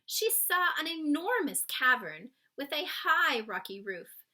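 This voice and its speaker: A woman reading a children's story aloud expressively, with dramatic pauses, speaking to young listeners. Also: sits high, big pitch swings, pushed and bright.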